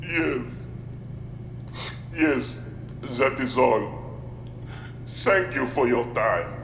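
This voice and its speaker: A man playing a monstrous man-eater, reciting a grim rhyming memoir in a slow, theatrical, deliberate manner with long reverb. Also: mid-range voice, lively intonation, highs cut off, slight background noise. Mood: subdued. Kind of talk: theatrical rhymed storytelling.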